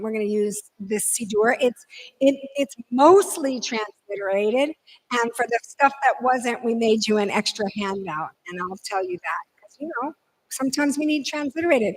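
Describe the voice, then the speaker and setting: A woman's voice: a little high, rough recording. A woman leading a holiday service for a group, chatty and upbeat, with many fillers and restarts. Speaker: service leader.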